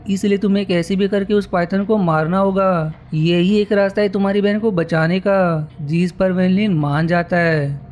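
A man's voice: high voice, a little mellow. A man narrating a film story from a script, recapping it scene by scene as a storyteller.

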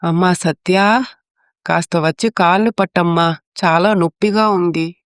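A woman playing a gentle, weary older mother-in-law in a moral story drama, affectionate and pleading. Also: lowish voice, fairly even intonation, measured pace, clean tone, dry recording, clean studio sound, close to an everyday voice.

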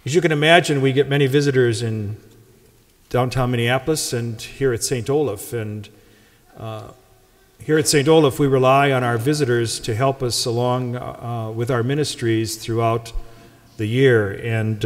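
Older pastor in a reverberant church. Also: male voice, mid-range voice, fairly even intonation, moderate pace. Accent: Upper Midwest American. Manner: wry, conversational, joking. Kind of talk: Gospel reading and pastor's welcome remarks. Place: large church sanctuary. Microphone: lectern microphone.

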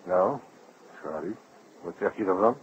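An actor playing a genial, teasing friend and proud local guide in an old radio play. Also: male voice, sits low, animated, a little slow. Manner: teasing, storytelling. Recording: dull old radio recording, faint noise.

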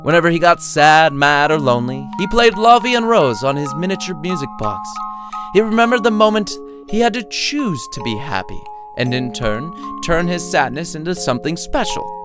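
A man's voice: a little high, very animated, moderate pace, music in the background. A man reading his own short story as scripted audiobook narration, with a wry, playful storytelling manner.

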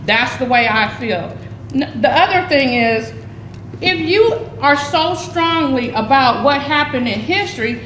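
A woman's voice: high voice, fairly bright tone. A woman in her fifties, a committee member, speaking emphatically at a public meeting and repeating her points. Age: 50s. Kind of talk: impassioned public meeting remarks. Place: large community center meeting room. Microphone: table microphone. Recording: echoey hall, faint room noise.

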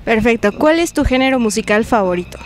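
A woman's voice: on the high side, animated, fairly quick. A young woman with a Mexican accent, conducting an on-location interview outdoors with a handheld microphone. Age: young adult. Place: outdoors in an open public plaza. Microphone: handheld reporter microphone. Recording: faint outdoor ambient noise.

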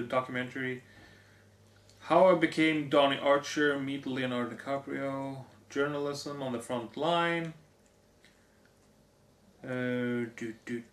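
A hoarse young man on a living room couch, casually reading off movie box features. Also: mid-range voice, a bit bright. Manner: reads off lists, offhand comments. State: hoarse, losing his voice. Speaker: vlogger. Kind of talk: casual unboxing chat. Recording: echoey room.

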